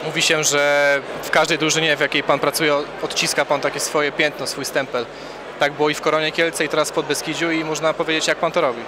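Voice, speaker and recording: A young man asks an interview's questions quickly and in a flat, level tone, his pitch fairly high. He hesitates with drawn-out "e" sounds between phrases. The noisy gala venue comes through clearly behind him. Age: young adult. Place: hotel bar at an evening gala, indoors. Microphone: handheld mic.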